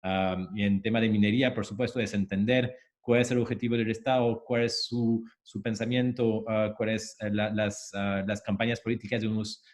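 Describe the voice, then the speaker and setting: A man's voice: on the low side, level tone, normal speed, few pauses. A man, a native French speaker with a strong French accent, presenting informally as an academic, repeating words as he goes. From French-speaking country. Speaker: panel speaker, academic and consultant.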